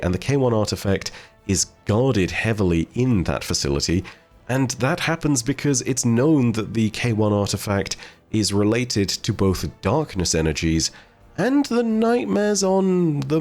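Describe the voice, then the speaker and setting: A man's voice: on the low side, darkish tone. A man hosting a gaming lore video, talking to viewers in a conversational commentary style. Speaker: host of a game lore video channel.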